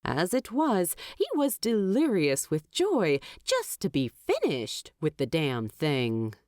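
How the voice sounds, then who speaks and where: A woman narrating a fantasy audiobook in a measured, wry storytelling manner. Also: on the low side, huge pitch swings, a little mellow, dry recording, clean studio sound. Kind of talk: expressive audiobook narration of fan fiction.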